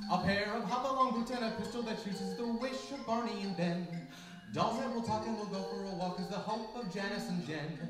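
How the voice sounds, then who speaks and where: A man's voice: sits high, brisk. A young man singing a classic Christmas song live. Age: young adult. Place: small library room, live holiday performance. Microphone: stage microphone on stand, distant camera recording. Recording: echoey room from far off, music behind.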